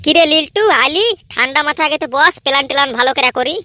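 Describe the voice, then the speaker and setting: Woman voicing a cocky, high-pitched little cartoon boy. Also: quick pace, pushed and bright, dry recording. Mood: insistent. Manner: brash, needling. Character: cocky, taunting small boy spoiling for fights. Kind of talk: amateur comedy cartoon dub.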